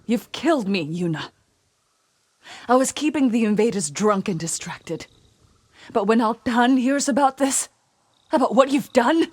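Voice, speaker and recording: A woman's voice: fairly high pitch, animated, measured pace, pauses often, no echo. An actress playing a bitter, accusing female innkeeper, a hardened survivor in a dramatic video game. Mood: angry.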